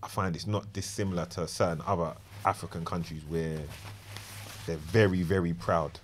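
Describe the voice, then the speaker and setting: A London man with a low voice hosts a relaxed podcast chat. He keeps things easy, backing his guest up with short agreements before he asks his next question. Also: normal speed, a little hiss or hum. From Lewisham, South London. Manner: laid-back, affirming, lots of "yeah, yeah" and "facts". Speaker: podcast host. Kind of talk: casual podcast interview.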